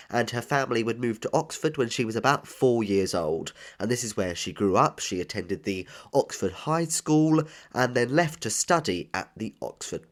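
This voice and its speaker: A man hosting a tribute podcast, nasal and a bit bunged up with a cold, earnestly listing credits with enthusiasm. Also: medium pitch. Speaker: podcast host. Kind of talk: scripted tribute podcast narration.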